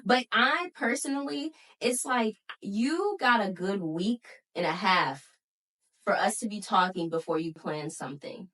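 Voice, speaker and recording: A woman's voice: mid-range voice, lively intonation, a little slow, fairly bright tone, distant mic, rough recording. Blunt, slangy woman in her twenties. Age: 20s. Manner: frank and blunt, slangy, trails off and restarts. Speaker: podcast co-host. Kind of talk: casual chat.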